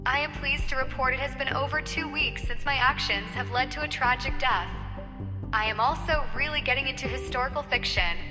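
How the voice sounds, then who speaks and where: A polite and eager female AI, played by a woman speaks in a high voice with long reverb. Her phrasing is formal, literal and exact, like an audio-drama ship computer, with prominent music behind her. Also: a little fast, lightly acted. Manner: formal, precise, uncontracted phrasing.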